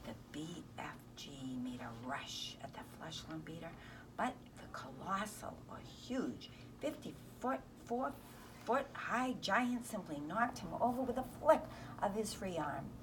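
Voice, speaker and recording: Lower-voiced woman reading a story, very noisy. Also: very animated, unhurried, far from the mic. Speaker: volunteer story reader. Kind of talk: children's book read-aloud.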